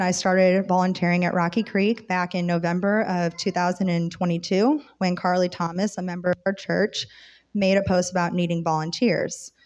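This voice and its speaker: A woman volunteer sharing a prepared, earnest testimony with a church congregation, her voice a little rough from being under the weather. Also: on the low side, darkish tone, highs cut off. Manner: sincere, earnest. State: slightly rough voice from oncoming illness. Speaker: church member and volunteer speaker.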